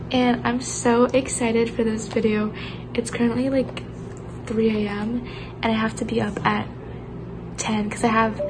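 A bubbly young woman chats into a phone held at arm's length, her voice a little high. She giggles often as she talks, and a faint hiss sits behind her. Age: young adult. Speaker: student vlogger. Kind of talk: casual vlog chat to camera. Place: college dorm room, indoors.

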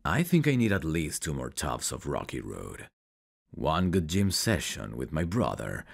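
Guy playing a teasing flirty dude for asmr roleplay, slow drawn out talk, gravelly n husky, intimate. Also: extremely low pitch, wildly swooping pitch, full character voice. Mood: easygoing, wry.

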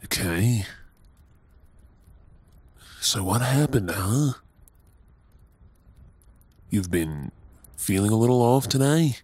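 An Australian man playing a tender, doting young husband in an intimate ASMR audio roleplay, with a soft, breathy voice. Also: fairly low pitch, dry recording, exaggerated voice. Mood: worried, tender.

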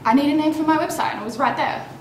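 A woman's voice: high-pitched, racing, close to an everyday voice. A young woman acting young female characters in screen dialogue from dramatic and comic scenes. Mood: puzzled. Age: young adult. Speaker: actress in a character reel. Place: indoor studio with a plain backdrop. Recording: roomy, distant, noisy with hum.

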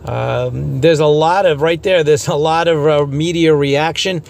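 A man with a somewhat high-pitched voice delivers informal podcast commentary. He speaks haltingly and circles back over his points, stating his opinions as the host of a radio report. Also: fairly even intonation, gravelly. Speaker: radio hobbyist host giving a news report.

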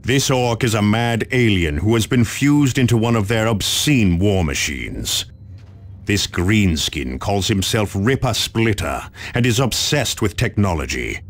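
An actor playing a stern, commanding space marine officer, briefing solemnly and with measured authority in a video game. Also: male voice, lowish voice, expressive. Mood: stern, urgent.

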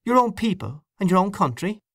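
A man's voice: sits high, big pitch swings, a little fast, fairly bright tone, no echo, clean studio sound, voice pushed up, heavily acted. A man voicing an insistent young Irish nationalist woman who keeps pressing with pointed questions.

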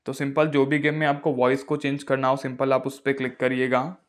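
A man's voice: mid-range voice, monotone, fairly quick. A man, narrating a casual phone tutorial as its host.

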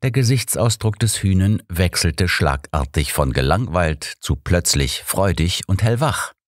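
A man's voice: low-pitched, animated, a little slow, no echo, clean studio sound. A man reading scripted literary narration as an audiobook narrator.

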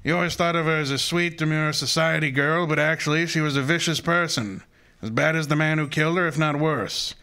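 An actor playing a shrewd, commanding private detective with formal, precise diction in an old-time radio drama. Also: male voice, a little high, fairly quick, bright, forceful tone, smooth. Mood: grave, measured. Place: live stage before an audience.

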